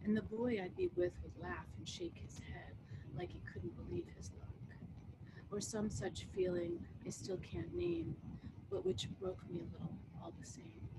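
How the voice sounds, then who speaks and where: A middle-aged American woman poet giving a reading of her work, with measured, deliberate phrasing. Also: on the high side, sing-song, vocal fry. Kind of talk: poetry reading with casual introductions. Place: book-lined wood-panelled room at home, indoors. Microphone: computer mic on video call. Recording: very noisy video-call audio.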